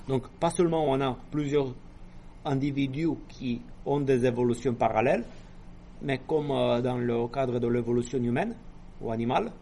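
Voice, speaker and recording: A man lecturing in a classroom, his pitch sitting in an ordinary middle range. He explains patiently, breaking in with hesitations and apologetic little jokes. A faint noise hums under the recording. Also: dark tone. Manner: explanatory, asides and apologetic quips. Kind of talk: university lecture.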